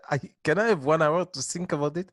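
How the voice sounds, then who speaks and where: A man in his twenties, a dance teacher, answering interview questions with halting restarts and frequent laughs. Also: on the high side, dry recording. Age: 20s.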